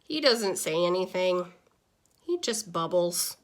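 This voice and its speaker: A woman voicing a small, easily exasperated pet goldfish narrating a diary in an expressive, deliberate children's picture-book read-aloud. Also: on the low side, voice pushed down. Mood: flat, bored.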